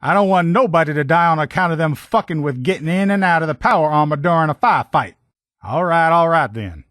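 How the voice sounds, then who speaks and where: Male actor as video game army lieutenant, protective comrade, blunt salty slang, fairly high voice, very dry close sound. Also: lively intonation, fairly quick, a bit bright, dry recording. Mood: briefing, commanding. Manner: blunt, profane soldierly banter with folksy slang. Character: gruff, loyal military lieutenant in power armor, protective comrade. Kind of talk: video game character dialogue lines.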